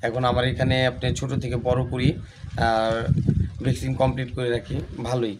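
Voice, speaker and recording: A man's voice: mid-range voice, flat delivery, a little fast. A man with a Bangladeshi accent giving a plain interview account as a farmer. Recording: faint ambient location noise.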